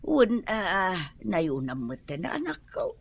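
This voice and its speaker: A woman's voice: medium pitch, big pitch swings, slow pace, vocal fry, breathy edge, exaggerated voice. A woman playing a whiny, scheming elderly mother in an Ilocano comedy radio drama, melodramatic and complaining, with an Ilocano accent. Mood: wheedling.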